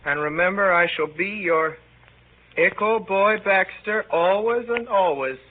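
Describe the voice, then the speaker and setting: A man's voice: sits high, measured pace, narrow, tinny audio. Melodramatic lovesick teenage boy. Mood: lovesick, yearning. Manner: melodramatic, declaiming. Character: lovesick, self-dramatizing, irritable teenage boy poet. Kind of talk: 1930s radio acting. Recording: thin, band-limited 1930s radio recording.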